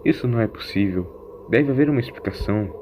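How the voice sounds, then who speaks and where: A man's voice: on the low side, animated, fairly quick, noisy background, close to an everyday voice. A man voicing an earnest, hopeful young granddaughter in an audio story. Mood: disbelieving.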